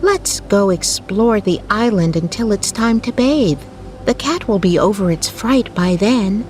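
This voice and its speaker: Storybook young lady, played by a female narrator: lifted pitch, determined, coldly cheerful, brisk, noticeable noise behind. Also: lively intonation, darkish tone, natural voice. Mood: brightly insistent. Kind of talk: storybook character voice.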